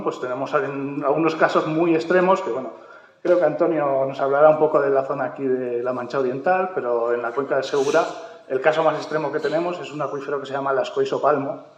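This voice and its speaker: Man from Mallorca, hesitant, echoey hall. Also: medium pitch. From Mallorca, Spain. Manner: hesitant, many fillers. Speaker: panel speaker. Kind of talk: round-table talk. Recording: echoey hall, dull sound.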